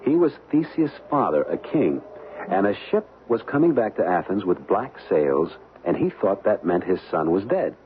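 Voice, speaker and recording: An actor playing a wary, easygoing young American husband in a radio drama, speaking in slangy, hesitant phrases. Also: male voice, low voice, very animated, moderate pace. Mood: casual banter. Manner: slangy, hesitant, repeating words. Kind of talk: old-time radio drama acting. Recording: tinny, band-limited vintage radio, hissy.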